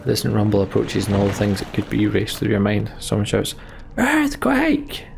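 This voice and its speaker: A man's voice: lowish voice, completely flat delivery, fast, creaky, background noise. A man hosting a let's play, reading game text aloud and commenting casually on his play. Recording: game music behind the voice. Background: game music.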